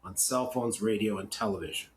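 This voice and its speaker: A Canadian man co-hosting a podcast, talking casually and with opinion, in a halting, rambling way with self-corrections. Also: fairly low pitch, a little hiss or hum, rough recording. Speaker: podcast co-host. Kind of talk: opinionated casual podcast talk, partly reading notes.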